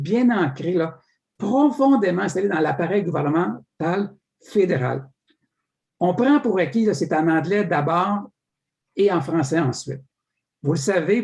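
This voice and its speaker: A man's voice: on the high side, vocal fry, darkish tone. A man, moderating a debate and posing prepared questions emphatically, with filler words and small stumbles.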